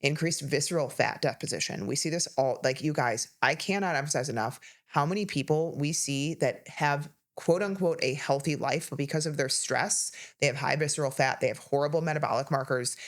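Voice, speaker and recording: A woman in her thirties co-hosting a health podcast, talking casually and listing symptoms rapidly with emphasis. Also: sits low. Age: 30s. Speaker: podcast co-host, health coach.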